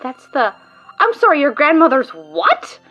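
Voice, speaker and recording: A woman's voice: high voice, sing-song, a little slow, sharp tone, highs cut off, soft background music, exaggerated voice. A woman voicing a sarcastic, exasperated friend in an audio drama, prone to ranting. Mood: deadpan exasperated.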